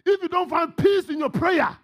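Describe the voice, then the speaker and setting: A middle-aged man with a Ghanaian accent and a husky voice preaches emphatically in short phrases, often shouting and repeating questions to his congregation. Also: very high voice, expressive, normal speed. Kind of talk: fervent, call-and-response sermon with pauses for interpreter. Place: church hall, on stage before a congregation.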